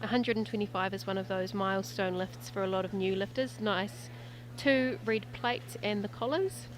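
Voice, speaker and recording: A woman's voice: mid-range voice, steady tone, moderate pace, slight background noise, low-quality recording. A native English-speaking woman doing live sports commentary, chatty and lightly joking.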